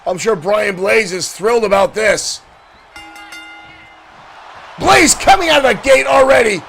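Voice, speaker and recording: A man doing excited play-by-play commentary for a digital wrestling show, shouting at big moments and losing his voice. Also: high voice, unhurried, gravelly, faint music behind.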